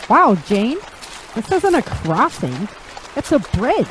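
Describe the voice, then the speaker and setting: A woman's voice: on the high side, sing-song, slow pace, a little hiss or hum, rough recording. A woman playing a wary, determined young traveler, earnest and firm, in an audio drama.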